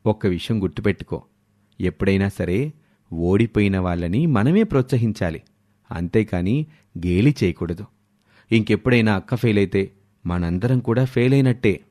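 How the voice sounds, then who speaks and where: Doting father, done by a male narrator: lowered pitch, soothing, gently instructive, storybook family tale. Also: moderate pace, pauses often, close to an everyday voice. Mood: gently chiding. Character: doting, gentle father advising his daughters.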